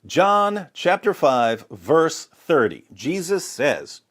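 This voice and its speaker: A man's voice: fairly high pitch, big pitch swings, slow, a bit bright. Guy doing polemical bible commentary, sarcastic and theatrical, voice swings up and down a lot. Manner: emphatic, sarcastic, theatrical delivery. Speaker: religious apologist commentator. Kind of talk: polemical commentary with scripture readings.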